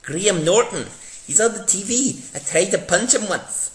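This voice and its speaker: Guy playing feisty scottish girl sidekick, blunt, loud, swoopy pitch, echoey room. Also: lively intonation, moderate pace. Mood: matter-of-fact. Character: brash, combative young Scottish woman companion.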